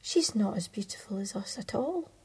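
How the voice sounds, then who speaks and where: A woman voicing a vain, fickle little male beetle in a high, sing-song voice. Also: lively intonation, normal speed, warm tone, slightly rough. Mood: dismissive.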